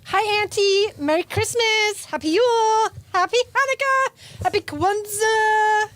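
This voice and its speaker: Woman playing a squeaky-high, excitable nerdy girl. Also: a little slow. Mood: bubbly, eager. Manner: eager, gushing. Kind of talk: amateur audio play acting.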